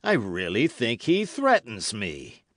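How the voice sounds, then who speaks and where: A man voicing a haughty, indignant duke in a storybook, with an imperious, deliberate manner. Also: fairly low pitch, big pitch swings, fairly bright tone, no room sound, studio quality, full character voice. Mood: indignant disbelief. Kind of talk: dramatic audiobook character reading.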